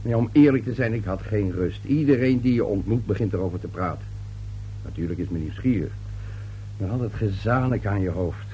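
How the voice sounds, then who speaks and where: A man's voice: lowish voice, brisk, mellow, highs cut off, close to an everyday voice. An actor playing an irritable, domineering middle-aged father and businessman in a radio play. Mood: uneasy, chatty. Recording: hummy, noisy old radio recording.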